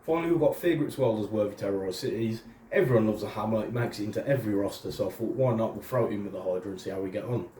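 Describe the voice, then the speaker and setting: A man with a nasal, raspy voice who is under the weather, hosting and casually explaining a tabletop game. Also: fairly low pitch, racing, few pauses, warm tone, a little hiss or hum. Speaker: host presenting a tabletop battle report. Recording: roomy, distant mic.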